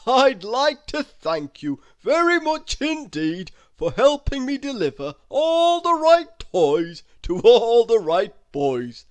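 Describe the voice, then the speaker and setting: A man voicing a jolly old Santa Claus in a children's storybook, big, loud and emphatic, with drawn-out words. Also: extremely high pitch, sing-song, dry recording, voice pushed up, heavily acted. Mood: hearty. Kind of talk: children's storybook read-aloud.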